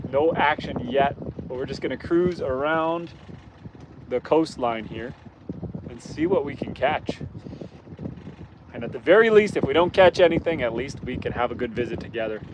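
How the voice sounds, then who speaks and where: A hoarse man, worn from roughhousing with his kids, chats easygoing and friendly with stream viewers while cruising a lake. His voice sits mid-range and a little scratchy. Wind and the hum of a boat motor come through clearly behind him. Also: medium pitch, animated, measured pace, pauses often. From Canada. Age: adult, father of young kids. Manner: easygoing, chatty, greets viewers by name. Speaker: outdoors channel host. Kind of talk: casual livestream chat while fishing. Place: outdoors on a boat on a rainy lake. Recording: windy outdoor stream with boat noise.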